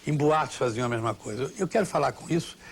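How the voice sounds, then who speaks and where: A man gives interview reminiscences at a brisk clip. His voice is husky and medium in pitch, and he leans on phrases, repeating them for emphasis. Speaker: veteran actor being interviewed.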